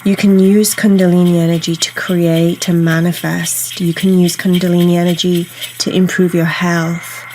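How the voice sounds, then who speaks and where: A woman guiding a meditation in a soothing, unhurried, instructional way, leaving pauses between phrases. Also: fairly low pitch, flat delivery, slight background noise, rough recording. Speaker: meditation guide. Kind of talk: guided meditation narration. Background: soft ambient meditation music.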